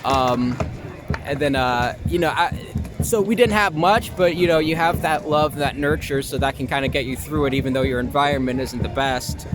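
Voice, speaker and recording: High-pitched young American man, casual and halting. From Long Island, United States. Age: young adult. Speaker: actor being interviewed. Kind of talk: casual conversational interview answers.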